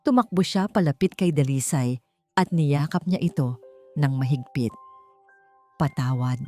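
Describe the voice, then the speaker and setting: Low-voiced woman narrating a romance drama. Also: normal speed, warm tone. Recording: faint music behind. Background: faint music.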